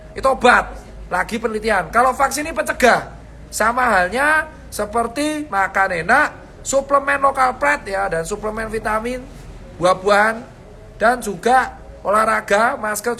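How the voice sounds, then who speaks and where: A man's voice: sits high, normal speed, sharp tone, slight background noise. A man talking casually to the camera, his blunt, fierce delivery sounding almost angry, sprinkling his advice with slangy calls of boss and bro. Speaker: vlogger giving health advice. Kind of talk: casual direct-to-camera talk.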